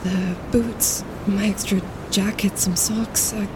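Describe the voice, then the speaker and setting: A woman playing a practical wildlife photographer on a winter camping trip in a naturalistic audio drama, speaking in a hushed, matter-of-fact, low-key way. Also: steady tone, slow, close to an everyday voice. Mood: uneasy, wondering. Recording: clear outdoor ambience noise behind.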